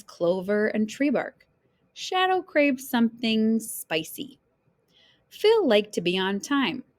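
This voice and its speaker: A woman reading a children's picture book aloud in an expressive, dramatic storyteller's way, phrasing deliberately for young listeners. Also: medium pitch. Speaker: read-aloud storyteller, teacher.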